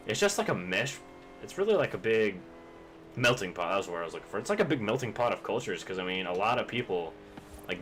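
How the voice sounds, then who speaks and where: Deep-voiced laid-back streamer guy. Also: fairly bright tone. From Kentucky, US. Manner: rambling, profane, laid-back. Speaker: game streamer. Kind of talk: casual chat while streaming a racing game.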